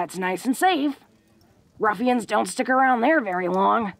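A woman acts out a tough, protective orc girl from a fantasy audio roleplay. She takes her time, in a slightly high voice with plenty of gravel, stumbling bashfully through rustic, slangy speech. She sounds close and dry. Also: no echo, full character voice. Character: tough, protective, secretly awkward young orc woman, sweetly devoted. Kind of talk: intimate audio roleplay acting.

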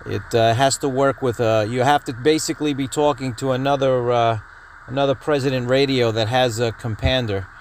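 A man's voice: medium pitch, moderate pace. Man presenting casually over background noise. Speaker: host of a radio-hobby video channel. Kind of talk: casual product announcement and feature rundown. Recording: clear steady background noise.

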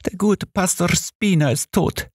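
A man's voice: high voice, unhurried, a little mellow, husky, dry recording, studio quality, pitched above the performer's own voice. A man voicing a distraught, tearful landlady in an audiobook, sobbing and whimpering. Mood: sobbing, horrified. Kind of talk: audiobook character acting.

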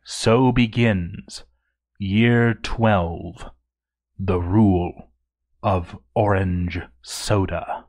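A man narrating a dark fantasy story in a theatrical, ominous manner with deliberate phrasing. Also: low voice, steady tone. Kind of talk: dramatic scripted story narration.

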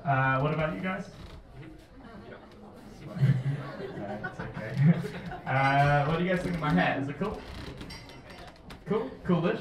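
Dry deadpan New Zealand man. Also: on the high side, reverberant, distant mic, lo-fi sound. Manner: deadpan self-deprecating, dry dark humor. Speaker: stand-up comedian. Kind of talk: stand-up set and casual interview. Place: brick-walled comedy club cellar. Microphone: handheld mic. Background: crowd laughter.

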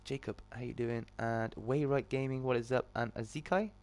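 A British man hosts a casual livestream in a mid-range voice with a slight morning croak. He talks to his viewers like mates, with chummy greetings and informal, off-the-cuff chat. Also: medium pitch, steady tone, moderate pace, a little mellow. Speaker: live streamer and host.

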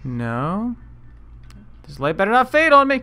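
A man doing casual, self-deprecating let's play commentary in an excitable manner. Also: high voice, a little slow, faint music behind. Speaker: video game let's player.

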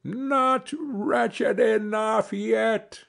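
Guy doing a small feisty female sparrow from a storybook, high voice, slow and emphatic, out for revenge. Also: big pitch swings, vocal fry, breathy edge, exaggerated voice. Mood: vengeful, taunting. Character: small female sparrow, bold, loyal and vengeful.

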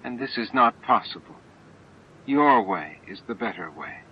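A man voicing a gentle, supportive female insect-like alien in a dramatized audiobook, speaking with calm reassurance. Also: medium pitch, unhurried, breathy edge, voice pushed up. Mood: gentle approval. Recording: dull lo-fi audiobook tape with music.

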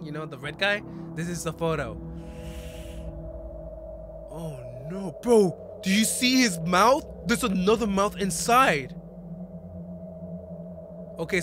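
High-pitched young man doing casual reactions. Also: expressive, unhurried, long pauses, over music. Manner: informal, slangy, exclaiming. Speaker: reaction video host. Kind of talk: casual live reaction, reading on-screen text aloud and commenting.

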